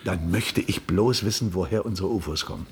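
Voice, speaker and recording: A man's voice: deep voice, fairly quick, husky. An actor in a comic radio play playing a flustered, stammering astronaut, a fussy civil servant nearing his pension. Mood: conspiratorial. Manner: stammering, hesitant, fussily exasperated.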